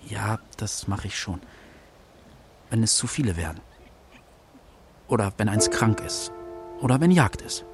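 A patient father and forester in a children's radio drama. His pitch is lively and varied as he explains things in a plain, matter-of-fact way. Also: measured pace, pauses often, faint music behind. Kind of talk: German children's radio play acting.

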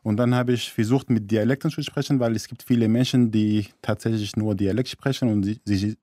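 A man's voice: lowish voice, fairly even intonation, fairly quick, mellow, no echo, clean studio sound. A man from Syria, a radio guest, explaining things carefully with small slips in his German. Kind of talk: radio interview.

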